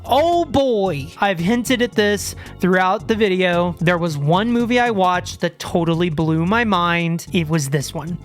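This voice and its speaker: A man hosting a horror video, talking excitedly with stammered restarts and sudden outbursts as he loses his voice. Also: sits high, measured pace, few pauses, soft background music. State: losing his voice. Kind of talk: enthusiastic solo video commentary.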